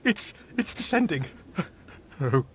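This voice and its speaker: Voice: mid-range voice, sing-song, slow, husky, heavily acted. An actor voicing an anxious, panicky piece of bubble gum in a comedic audio drama, stammering and repeating words. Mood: panicked.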